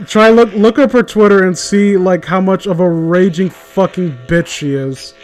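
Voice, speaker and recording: A man's voice: high-pitched, fairly even intonation, normal speed, faint music behind. A man chatting casually in a blunt, opinionated way with plenty of swearing. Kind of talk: casual chat.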